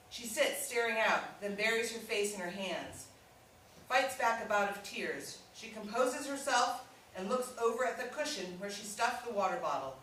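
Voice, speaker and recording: A very echoey, distant recording with faint noise. A woman with a fairly high voice reads out stage directions as scripted narration at a live play reading. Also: a bit bright.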